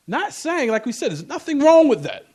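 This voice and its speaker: High-pitched man teaching a religious class. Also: very animated, normal speed, a little mellow. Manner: repetitive, emphatic, checking in with rhetorical questions. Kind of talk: informal Islamic lecture with scriptural recitation.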